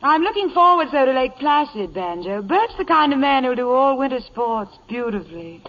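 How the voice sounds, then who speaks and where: An actress playing a vain, self-dramatizing glamour star, affected and gushing, in 1940s radio comedy style. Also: female voice, high-pitched, sing-song, normal speed, fairly bright tone. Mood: breezy. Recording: muffled old radio broadcast.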